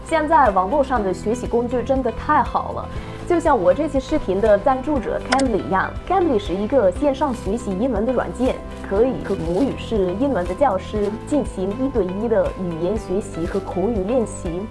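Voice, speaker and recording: A woman's voice: medium pitch, expressive, normal speed, music in the background. A woman from Ukraine with a Slavic first language, narrating a vlog in a friendly, chatty way. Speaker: vlogger.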